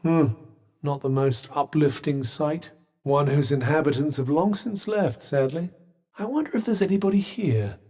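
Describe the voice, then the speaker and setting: A man's voice: a little high, darkish tone, long reverb tail, heavily acted. A man voicing a sardonic, witty male talking bracelet in a video game, with dry, teasing quips.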